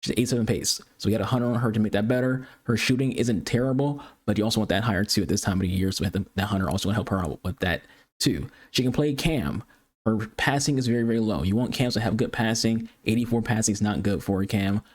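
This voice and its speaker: A man who talks fast and clutters his words, repeating and restarting often, giving casual commentary for a video game review. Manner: excitable high-pitched greeting. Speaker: gaming YouTuber.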